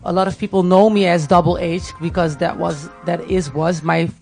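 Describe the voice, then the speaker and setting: A woman with a Dutch accent and a gravelly edge, talking casually and hesitantly as an interview guest. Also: on the low side, steady tone, normal speed, darkish tone, faint music behind. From Netherlands, grew up in Tilburg. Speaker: music artist, interview guest. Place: radio studio.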